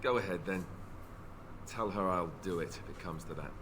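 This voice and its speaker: An actor playing a self-deprecating young warrior and reluctant heir to a throne in a video game, joking and sarcastic. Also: male voice, low-pitched, moderate pace, background noise, close to an everyday voice. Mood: resigned, joking. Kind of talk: scripted video game acting.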